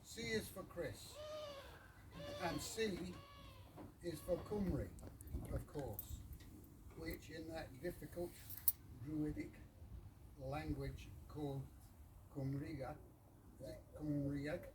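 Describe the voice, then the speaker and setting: A man reads a poem aloud to a gathering of friends in measured, halting short phrases, with informal asides. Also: on the high side. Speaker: reader of a poem at a birthday gathering. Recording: distant mic with clear background noise.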